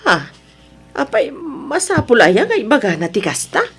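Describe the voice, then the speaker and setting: A woman's voice: high-pitched, big pitch swings, moderate pace, gravelly, a bit bright, exaggerated voice. A woman acting a firm, humble middle-aged mother with an Ilocano Filipino accent, scolding and advising in a motherly way in an audio drama. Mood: admonishing.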